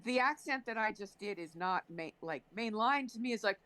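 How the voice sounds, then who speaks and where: A woman's voice: medium pitch, lively intonation, normal speed, crowd noise. A woman talking expressively and informally in a casual group chat.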